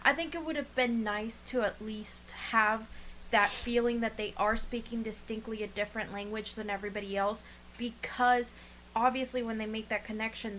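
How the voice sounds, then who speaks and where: A woman's voice: mid-range voice, a bit bright, a little hiss or hum, rough recording. A woman podcast co-host giving her opinions in casual, unscripted chat. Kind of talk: casual chat reviewing an anime.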